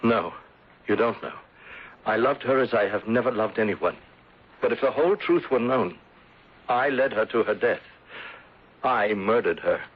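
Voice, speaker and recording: An actor playing an expressive gentleman in a radio drama. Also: lowish voice, normal speed, long pauses, background noise, lightly acted. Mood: anguished, confessing. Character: expressive English gentleman, emotional and sociable. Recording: dull old radio recording.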